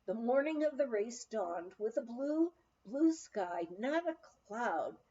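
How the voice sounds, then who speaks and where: A woman with a fairly high voice reads a humorous memoir aloud at a leisurely pace. Her delivery is expressive and full of lively rises and falls, in the manner of a storyteller. The recording is a little dull and lo-fi. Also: slow. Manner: animated storytelling, acting out quoted dialogue. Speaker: audiobook reader. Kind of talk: expressive reading of a humorous first-person memoir.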